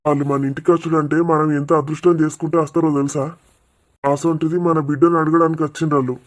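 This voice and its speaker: A man's voice: on the high side, level tone, fast talker, close to an everyday voice. A man playing an exasperated middle-aged father in a cartoon. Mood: exasperated, scolding. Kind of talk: animated cartoon dialogue.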